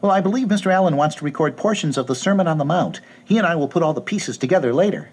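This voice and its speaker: An actor playing an anxious, socially awkward bookish man in an audio drama, with formal, fussy, hesitant speech and a husky tone. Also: on the high side, expressive, fast, a little mellow. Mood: guarded, formal.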